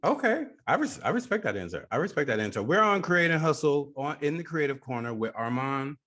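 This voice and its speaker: A man's voice: on the high side, very animated, brisk, a bit bright. A man hosting a radio show, interviewing a guest and repeating phrases for emphasis.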